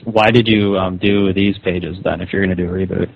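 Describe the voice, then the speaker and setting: A man's voice: low voice, flat delivery, fairly quick, vocal fry. A man arguing over the phone in an insistent, scolding, confrontational way. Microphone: telephone call. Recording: dull lo-fi phone call recording.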